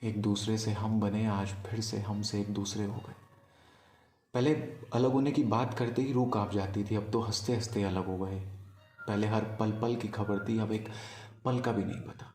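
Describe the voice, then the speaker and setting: A man performing a poetic love monologue as a storyteller, in a wistful, deliberate manner with pauses. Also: fairly low pitch, steady tone, a little mellow, reverberant. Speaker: spoken-word storyteller. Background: music.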